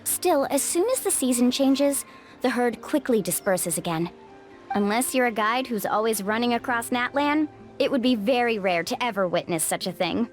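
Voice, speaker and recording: Casting: bubbly, confident young guide, a woman voicing her, lively pitch, light music behind. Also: moderate pace, close to an everyday voice. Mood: explaining. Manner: upbeat, playful, confident. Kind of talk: video game voice acting. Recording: game audio with music behind.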